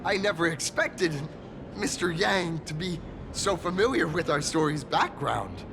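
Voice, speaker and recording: High-pitched, gushing young hero fanboy. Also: male voice, expressive, measured pace, vocal fry, background noise. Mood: earnest, proud. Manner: gushing, stammering when flustered. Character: excitable starstruck young male actor-turned-masked-hero, earnest and humble. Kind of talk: anime-style video game voice acting.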